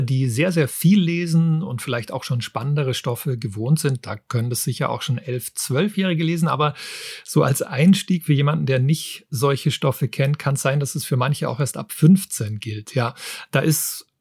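A middle-aged man presents books as a podcast host. His voice sits at a medium pitch with a slightly rough, husky grain. The sound is dry and close, with hardly any room on it. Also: normal speed, a little mellow, dry recording. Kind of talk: book review talk, partly scripted.

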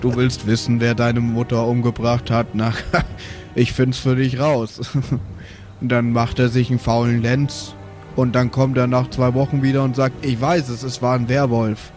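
Slow-paced man joking around casually. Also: mid-range voice, little pitch movement, a little mellow, faint music behind. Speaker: role-play player.

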